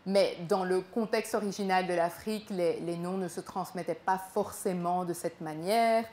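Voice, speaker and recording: Halting young woman in an echoey room. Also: fairly low pitch, steady tone, a little mellow. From Congo, raised in Belgium. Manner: halting, with many repeated words and fillers. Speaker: performer telling her own story. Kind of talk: live spoken performance. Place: small gallery room with an audience.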